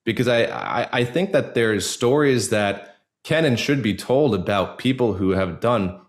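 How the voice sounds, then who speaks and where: A man speaking casually and informally as an interview guest, in a halting way with many filler 'likes'. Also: medium pitch, animated. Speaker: actor, podcast guest. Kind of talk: casual personal interview talk.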